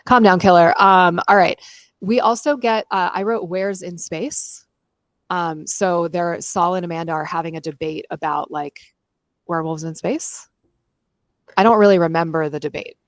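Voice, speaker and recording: A woman's voice: on the low side, fairly even intonation, moderate pace, no echo. Casual woman podcast co-host, dry close sound.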